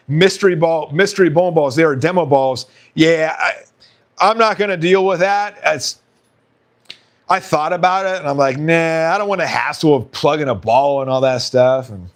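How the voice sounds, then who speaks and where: A man hosting a casual live stream, chatting and reading out viewer comments with frequent laughs, his voice slightly hoarse. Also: fairly high pitch.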